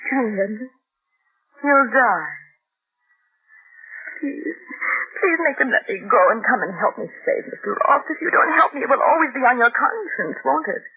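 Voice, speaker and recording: A man's voice: high-pitched, breathy edge, exaggerated voice. An actor playing a frantic, unhinged man pleading to save a friend walled up alive, in an old radio drama. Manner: pleading, wild swings from shrieking to whispering. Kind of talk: 1940s radio acting. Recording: muffled old radio recording.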